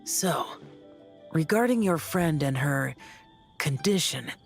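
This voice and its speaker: A woman voicing a stoic, youthful male immortal warrior in a video game, husky, solemn and measured, with formal phrasing. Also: sits low, lively intonation, soft background music, exaggerated voice. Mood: solemn, reflective.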